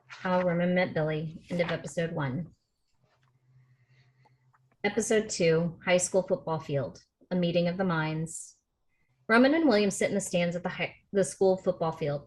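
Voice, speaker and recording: A woman's voice: lowish voice, rough recording. A woman narrator reading stage directions from a film script at a table read. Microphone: video call.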